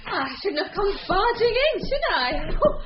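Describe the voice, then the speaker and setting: Guileless, chatty WPC, extremely high pitch, apologetic, radio mystery, dull sound. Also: female voice, big pitch swings, normal speed. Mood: cheerful, oblivious. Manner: bubbly, apologetic, prattling. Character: chatty, eager, guileless young policewoman. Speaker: woman police constable. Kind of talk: British radio drama acting. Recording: dull, noisy radio drama recording.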